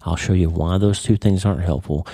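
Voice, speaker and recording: A man's voice: deep voice, steady tone, brisk, warm tone, breathy edge, no echo. A man with a stuffy, congested nose, talking casually and explaining things as a podcast host, repeating words as he thinks. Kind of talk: casual solo podcast talk.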